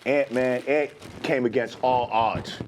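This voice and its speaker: A man in animated casual sports banter, speaking emphatically in slang and repeating himself for effect as a show panelist. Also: mid-range voice, takes it slowly, slight background noise.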